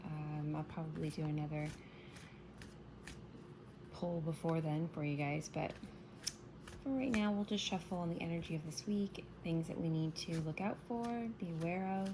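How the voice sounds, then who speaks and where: A woman giving a casual morning tarot reading, soft-spoken and meandering, her voice still scratchy from waking up. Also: low voice, normal speed, long pauses. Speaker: tarot reader.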